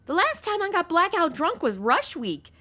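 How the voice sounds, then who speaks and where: A woman's voice: extremely high pitch, big pitch swings, normal speed, sharp tone, full character voice. A woman playing a flighty, exasperated young temp secretary in a comedy audio drama, talking in breezy modern slang. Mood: flustered. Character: flighty young female temp secretary, indignant party girl.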